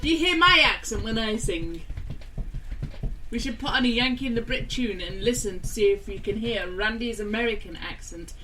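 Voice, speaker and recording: A woman's voice: on the high side, lively intonation, moderate pace, pushed and bright. British woman, radio co-host, teasing chatter, a bit distant from the mic, faint hiss. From Britain. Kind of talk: casual banter.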